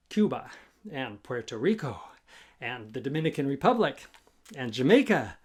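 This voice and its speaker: A man who is a children's author reading a rhyming story aloud with an expressive, chanting, sing-song delivery. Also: medium pitch. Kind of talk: expressive musical storytime narration in rhyme.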